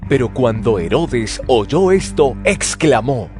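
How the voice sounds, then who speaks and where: Animated male scripture narrator. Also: medium pitch, takes it slowly. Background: music.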